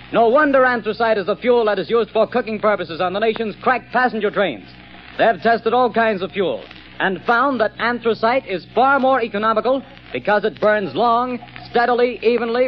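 Male radio announcer, high voice, polished measured sales delivery, 1940s commercial style, dull old recording. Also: animated, a little hiss or hum.

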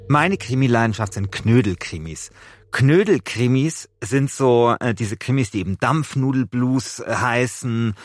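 A man's voice: medium pitch, dry recording, clean studio sound. A man hosting a podcast in a casual, self-deprecating, joking way, like a chatty journalist. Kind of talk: casual banter and podcast narration.

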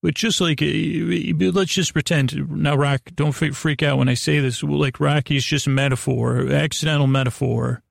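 Creaky-voiced man rambling through a bedtime podcast. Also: a little high, steady tone, dry recording, clean studio sound. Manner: meandering, halting, stumbles over words, self-interrupting; soothing, lulling. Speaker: podcast host.

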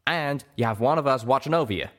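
A man voicing a gruff, protective male pegasus cowpoke with an American Southern accent in an audiobook. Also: medium pitch, wildly swooping pitch, normal speed, fairly bright tone, voice pushed up. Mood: firm. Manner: drawling, folksy scolding. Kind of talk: audiobook character voice.

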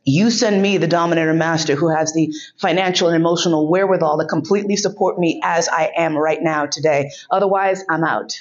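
A woman's voice: sits low, fairly even intonation, a little fast, hardly any pauses, muffled recording. A woman giving a keynote speech, telling a frank, profane story and laughing often.

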